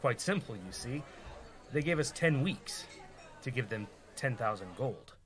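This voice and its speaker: An actor playing an evasive, pragmatic rogue in a comedy radio play, exasperated and reluctant. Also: male voice, mid-range voice, animated, a little slow, a bit bright, a faint crowd. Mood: resigned.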